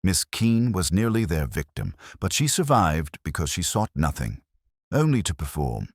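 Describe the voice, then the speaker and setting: A man's voice: low-pitched, big pitch swings, moderate pace, breathy edge, no echo, studio quality, heavily acted. A man voicing a pompous, brilliant private detective who speaks in formal, grand pronouncements in an audiobook story. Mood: solemn pronouncing.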